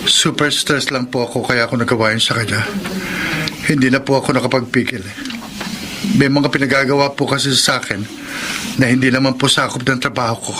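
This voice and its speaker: An actor plays a courteous Filipino office man with a dangerous temper underneath. His voice sits in a middle register, in radio drama style on a clearly noisy track. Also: mid-range voice, fairly even intonation, brisk, natural voice. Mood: apologetic. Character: polite male office worker hiding menacing temper.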